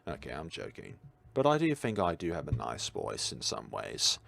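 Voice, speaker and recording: A man's voice: rumbling low, huge pitch swings, moderate pace, gravelly, a bit bright. A tired man musing aloud in a casual livestream chat, answering his viewers' questions as he goes. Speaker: streamer.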